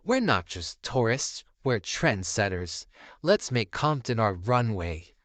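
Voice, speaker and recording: Man as a flamboyant storybook showman, sassy, campy, swooping pitch, unhurried, dry close sound. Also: very animated, fairly bright tone, no room sound, full character voice. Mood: grand, fabulous.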